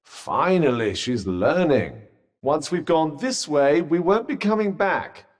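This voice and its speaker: An actor voicing a sentient magical vambrace in a video game, a wry, haughty, cautious companion with a British accent and dry, sardonic, formal understatement. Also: male voice, on the high side, big pitch swings, takes it slowly, heavily acted. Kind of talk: video game character dialogue. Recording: long reverberant echo on the voice.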